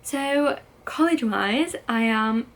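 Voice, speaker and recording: Teenage woman with vocal fry. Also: on the high side, animated, slow, fairly bright tone. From United Kingdom. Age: late teens. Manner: candid and chatty, with frequent "like" and "um" fillers. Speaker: vlogger. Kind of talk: casual confessional talk to camera.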